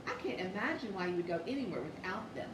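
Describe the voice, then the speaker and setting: Woman voicing a gentle, kindly female fox, lively pitch. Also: quick pace, roomy sound, recorded from a distance, noisy background, natural voice. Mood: admiring. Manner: gentle, reassuring.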